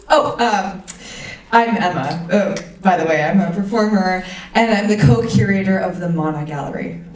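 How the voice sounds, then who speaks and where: A woman playing an effusive gallery co-curator giving a halting welcome speech in a staged play reading. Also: mid-range voice, darkish tone, slight background noise, lightly acted. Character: gushing gallery co-curator and performer, introducing an artist. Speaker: event host. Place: live staged reading in echoey room. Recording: echoey room, distant mic.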